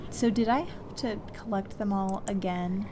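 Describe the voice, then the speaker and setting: A woman's voice: mid-range voice, normal speed. Woman thinking aloud over noisy game sounds. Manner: thinking aloud, muttering questions to self. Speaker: video game streamer. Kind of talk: casual live gameplay commentary, chatting to viewers. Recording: game sound effects behind voice. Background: bleating sheep and game ambience.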